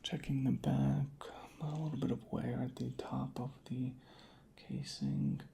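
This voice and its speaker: A soft-spoken ASMR creator murmuring methodically in a breathy, whispery voice, unhurried and close to the microphone. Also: mid-range voice, little pitch movement, background noise. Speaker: ASMR creator playing a record inspector.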